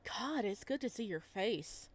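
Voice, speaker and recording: A woman's voice: mid-range voice, lively intonation, unhurried. A woman voicing an easygoing, caring young friend with a casual, breezy manner in a visual novel. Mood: friendly, casual.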